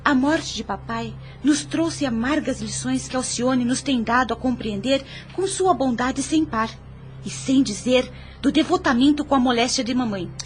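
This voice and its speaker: A woman's voice: sits high, moderate pace, slight background noise, natural voice. A Brazilian woman playing a spirited, principled young niece in an audio drama, formal, firm and earnest. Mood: reproachful.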